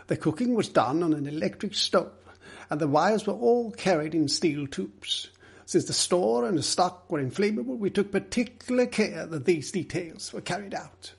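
A man voicing an earnest, excitable Englishman in an audiobook mystery, speaking in an emphatic, formal way. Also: high-pitched, moderate pace, gravelly, breathy edge, voice pushed up, exaggerated voice. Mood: firm.

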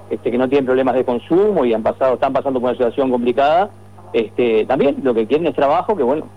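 A man reporting from the field for radio, speaking conversationally with many fillers. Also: mid-range voice. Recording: dull radio line, faint hum.

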